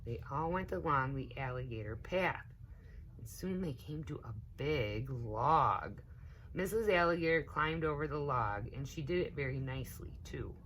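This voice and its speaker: Woman, low voice, animated storybook reading, slow pace, emphatic, for young children, faint background noise. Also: rough recording. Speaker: story time reader, teaching assistant.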